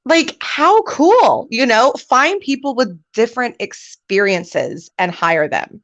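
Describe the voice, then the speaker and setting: A woman's voice: on the high side, animated, slow, a bit bright, dry recording, dull audio. Upbeat woman hosting a live show. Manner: upbeat, laughs often. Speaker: host. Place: video call.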